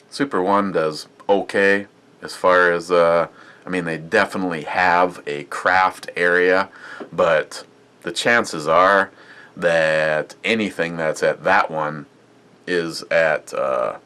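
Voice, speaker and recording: A man's voice: deep voice, steady tone, slow pace, bright, forceful tone, smooth. A man casually reviewing a beer on his vlog, rambling and chatty with lots of fillers and restarts.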